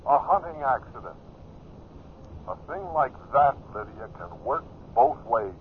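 An actor playing a cool, dismissive, quietly menacing lover in a 1950s radio drama, with a clipped delivery. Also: male voice, medium pitch, expressive, measured pace, phone-quality sound, close to an everyday voice. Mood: firm, impatient. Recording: noisy, band-limited old radio recording.

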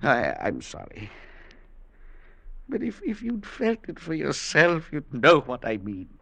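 Haunted, high-strung professor, tense voice. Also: male voice, wildly swooping pitch, vocal fry, bright, forceful tone, heavily acted. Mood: horrified, revolted. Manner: agitated, halting, repeating words. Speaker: actor in a radio drama. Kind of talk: late 1940s radio thriller acting. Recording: vintage radio broadcast with music.

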